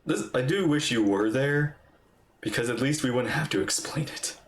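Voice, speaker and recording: A woman's voice: extremely low pitch, moderate pace. A woman streaming a game and reacting casually to its story as it plays. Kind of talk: casual live reaction commentary. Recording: streamer voice over game music.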